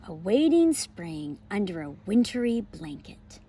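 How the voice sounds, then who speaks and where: An American woman hosting a homeschool lesson and children's read-aloud, with expressive, emphatic storybook phrasing. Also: medium pitch, takes it slowly, a little hiss or hum. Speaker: homeschool educator and channel host.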